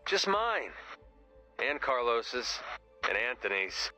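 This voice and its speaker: A wry, animated, bright-voiced rocker. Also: male voice, medium pitch, takes it slowly, soft background music, exaggerated voice. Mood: exasperated. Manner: wry, sarcastic. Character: dry, sardonic rock musician, grudging but loyal. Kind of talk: video game voice acting.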